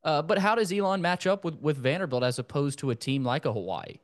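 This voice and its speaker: A man's voice: fairly high pitch, fast. A man hosting a casual sports interview, talking in a wordy, roundabout way. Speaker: sports podcast host.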